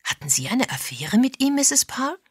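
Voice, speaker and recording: A woman's voice: sits high, sing-song, normal speed, breathy edge, no echo, studio quality, voice pushed up, exaggerated voice. A woman voicing a tough, blunt woman amateur sleuth, frank and musing, in an audiobook.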